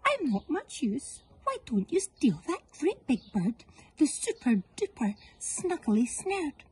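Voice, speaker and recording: A woman's voice: high voice, huge pitch swings, slow pace, a little mellow, voice pushed up, heavily acted. A woman voicing a hen, a duck and a goose from a farm storybook, tricking the thieves with sweet talk. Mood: persuasive.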